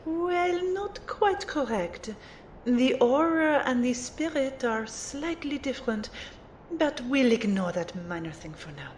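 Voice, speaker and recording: A woman's voice: high voice, lively intonation, takes it slowly, darkish tone, a little hiss or hum. A woman playing a haughty but fond mage mentor in an audio drama, with a condescending, theatrical manner. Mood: lecturing. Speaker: voice actor in an amateur audio drama. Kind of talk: acted dialogue in an audio drama.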